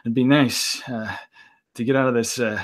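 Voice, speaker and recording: A polished young gentleman, acted out by a man, weary and irritable in a tabletop mystery game. His voice is mid-pitched, with a brusque, complaining manner. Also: steady tone, moderate pace, natural voice. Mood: irritated.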